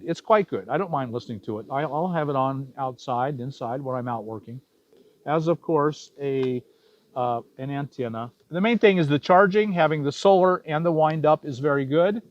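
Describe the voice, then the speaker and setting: A man's voice: medium pitch, a little mellow, no echo. A man giving a casual product review, explaining things plainly and repeating points for emphasis. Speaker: product reviewer for van life gear. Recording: slightly dull, dry lo-fi sound.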